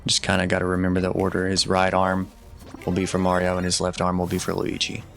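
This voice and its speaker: A man giving casual, matter-of-fact video game walkthrough commentary in a low-key instructional way. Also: completely flat delivery, quick pace, gravelly, fairly bright tone. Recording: voice over game music. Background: video game music.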